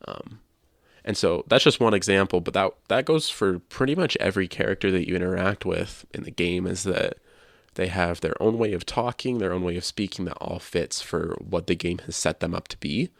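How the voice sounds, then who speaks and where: A man's voice: low voice, moderate pace, gravelly, no echo, studio quality. A man hosting a podcast, sharing his opinions in casual discussion. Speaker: podcast host.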